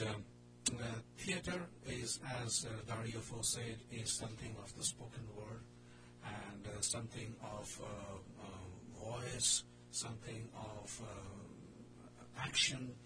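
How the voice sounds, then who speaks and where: A man hosting an event, talking off the cuff in a halting, hesitant way. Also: medium pitch. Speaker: host. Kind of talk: hosting an event, unscripted talk. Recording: very loud background noise.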